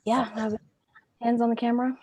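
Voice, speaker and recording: A woman's voice: fairly high pitch, little pitch movement, a little fast, dark tone, slight background noise. An eighteen-year-old woman, a film's cinematographer, giving brief matter-of-fact interview answers. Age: late teens.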